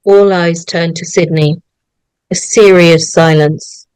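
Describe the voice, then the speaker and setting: A woman's voice: lowish voice, fairly even intonation, slow pace, darkish tone, smooth, no echo, poor audio. A woman narrating, reading out the stage directions of a screenplay at a table read.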